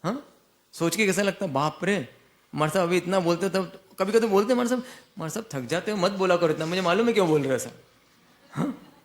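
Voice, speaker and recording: Brief: high-pitched male monk preaching, asks the audience questions and answers them himself. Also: expressive, brisk. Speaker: Jain monk giving a discourse. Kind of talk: sermon.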